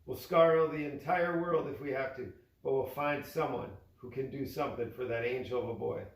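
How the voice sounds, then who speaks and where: A man's voice: medium pitch, fairly even intonation, normal speed, reverberant, distant mic, close to an everyday voice, poor audio. A man voicing an emphatic old miser who has turned generous, in a storybook reading. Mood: hearty, generous. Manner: growling, emphatic.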